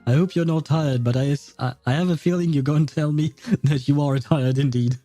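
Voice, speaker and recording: Mellow, chatty man livestreaming a game. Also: on the high side, fairly even intonation, a little fast, dry recording. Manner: chuckles often, rambling and friendly. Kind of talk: casual stream chat and game commentary, reading dialogue aloud.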